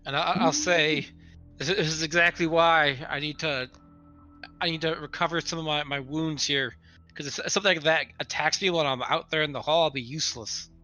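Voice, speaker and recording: Dude playing a tabletop rpg, kinda high bright voice, casual, faint music under it. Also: male voice, fairly even intonation, a little fast. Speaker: player in a tabletop roleplaying game. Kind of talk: casual game-table chat. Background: background music.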